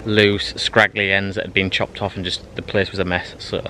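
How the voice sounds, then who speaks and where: A British man in his thirties talks to a handheld camera in a pine forest, easygoing and rambling, full of fillers and restarts. His voice sits fairly low. Music plays clearly beneath the talk. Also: flat delivery, moderate pace, sharp tone. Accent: British English. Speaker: bushcraft vlogger. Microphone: handheld camera at arm's length. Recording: background music behind the voice.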